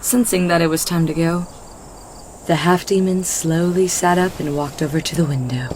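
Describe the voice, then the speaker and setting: A woman with a slightly low voice narrates a scripted story. It is a home recording, with noticeable noise behind her reading. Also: steady tone, moderate pace, darkish tone.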